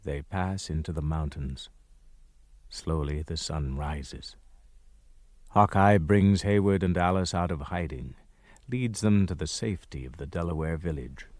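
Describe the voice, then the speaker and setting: Grave adventure storyteller, low voice, measured slow pace, solemn radio drama narration. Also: male voice, a little mellow, no room sound. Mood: sombre.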